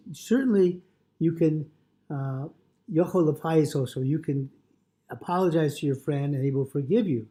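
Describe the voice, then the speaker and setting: A man with a mellow, relaxed voice pitched a little higher than average teaches from a religious text. He reads a phrase, then explains it, restating and rephrasing the point in the manner of a rabbi leading a study class. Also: husky. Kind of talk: Torah lesson, reading aloud and explaining line by line.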